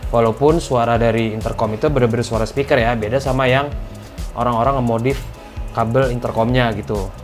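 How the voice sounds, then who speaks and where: A man's voice: medium pitch, fairly even intonation, fairly quick, soft background music. A man with a Jakarta Indonesian accent talks as a motovlogger in a casual, colloquial review style, explaining things as he goes. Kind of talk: casual product review vlog.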